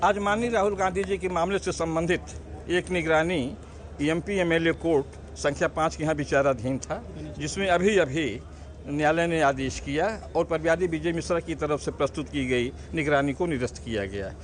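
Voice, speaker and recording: Slightly high-voiced north Indian man, formal statement. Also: normal speed. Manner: formal, legalistic Hindi wording. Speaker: lawyer. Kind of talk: press statement on a court ruling. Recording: faint outdoor ambient noise.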